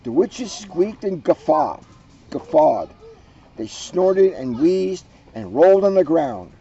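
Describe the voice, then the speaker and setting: A man's voice: fairly high pitch, big pitch swings, slow, mellow, husky, lo-fi sound, faint music behind. A man reading a storybook aloud with playful, joking asides.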